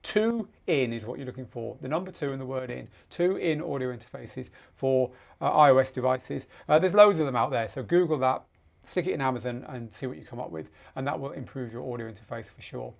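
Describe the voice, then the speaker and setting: A man's voice: mid-range voice, a little fast, gravelly. A man hosting a casual live question-and-answer show, explaining off the cuff in a conversational way and repeating words for emphasis.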